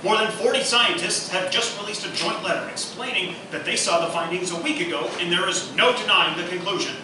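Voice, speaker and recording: A man's voice: sits high, brisk, natural voice. Earnest male news reporter played by a man, formal bulletin delivery for radio drama, distant and noisy live recording. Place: live performance room, distant mic. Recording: echoey, noisy live theatre recording from afar.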